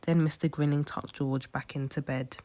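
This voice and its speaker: A woman's voice: sits low, steady tone, normal speed, dark tone. A woman storyteller reading a children's picture book aloud as scripted narration.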